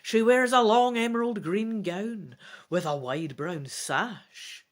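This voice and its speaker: A woman's voice: mid-range voice, slow pace. A woman reading a fantasy gamebook aloud as a dramatic narrator. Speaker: gamebook reader.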